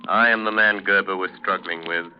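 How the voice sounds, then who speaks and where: An actor playing a mysterious, commanding male crime-fighter in 1930s radio drama, speaking formally and deliberately. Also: sits low, fairly even intonation, faint music behind, lightly acted. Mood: calm, commanding. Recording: muffled, noisy vintage radio recording.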